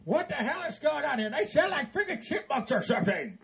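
A man playing a cranky, foul-mouthed old hillbilly who yells and laughs as he rants. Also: very high voice, sing-song, a little fast, gravelly, a loud crowd, full character voice. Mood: ranting. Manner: foul-mouthed, yelling, laughing while ranting.

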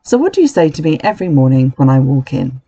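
A reader voicing a mysterious young woman teacher, quiet but firm and brisk, in a children's storybook. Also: mid-range voice, sing-song, slightly rough, lo-fi sound.

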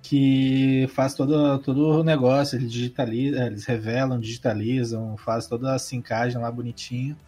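A man hosting a casual, slangy chat and asking his guest relaxed follow-up questions. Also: medium pitch, moderate pace, hardly any pauses, soft background music. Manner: relaxed slangy interviewer, asks follow-ups. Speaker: podcast host.

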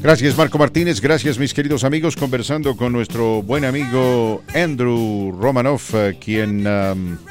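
A man hosting a Spanish-language radio interview in a studio, asking questions with many "eh" fillers. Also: medium pitch. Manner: lively questioning with frequent fillers. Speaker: radio show host. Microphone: studio microphone.

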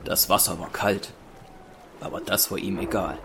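A man's voice: low-pitched, steady tone, moderate pace, creaky, background noise. A man narrating an audio drama in a scripted storytelling style. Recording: loud music behind the voice.

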